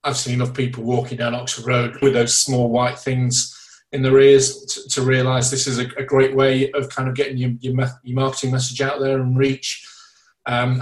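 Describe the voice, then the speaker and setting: A man co-hosting a startup podcast, chatting casually with a jokey, self-deprecating manner. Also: medium pitch. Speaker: podcast co-host and startup founder. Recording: echoey, distant from the mic.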